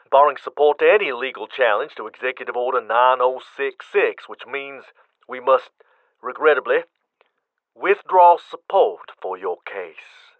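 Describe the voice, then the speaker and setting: Stiff, careful official on the phone, played by a male actor, slow and formal, dry sound. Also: medium pitch, a bit bright, no room sound, lightly acted, low-quality recording. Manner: measured and apologetic. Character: formal, cautious male civil liberties official.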